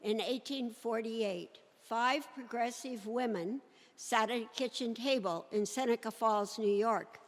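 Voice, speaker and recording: A woman reading a scripted introduction to a stage presentation in a measured, formal way, recorded in a reverberant hall. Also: fairly high pitch. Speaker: presenter introducing a readers theater performance. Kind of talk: scripted introduction read aloud. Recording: echoey hall with long reverb.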